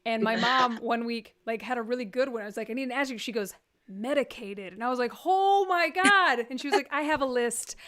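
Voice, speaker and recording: High-voiced Texan woman hosting with jokes. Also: fairly bright tone. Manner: joking, rambling, quick asides. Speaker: comedian hosting a live stream. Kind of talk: casual comedic chat.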